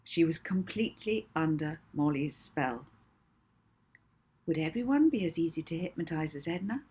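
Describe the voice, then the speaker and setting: A woman narrates a children's novel with expression. Her voice sits a little low and has a husky, breathy edge, suited to storybook reading. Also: expressive, normal speed, warm tone. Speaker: author reading own novel aloud.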